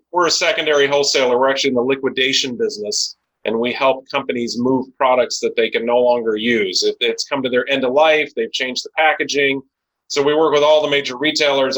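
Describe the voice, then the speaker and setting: Bright, forceful American man in his forties. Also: medium pitch, poor audio. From United States (Chicago and Los Angeles). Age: 40s. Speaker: business owner, interview guest. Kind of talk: conversational storytelling.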